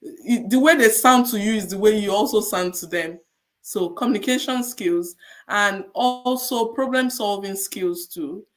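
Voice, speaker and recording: A Nigerian-accented woman, a registered nurse, giving measured, encouraging advice in a podcast interview and repeating her key points. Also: medium pitch.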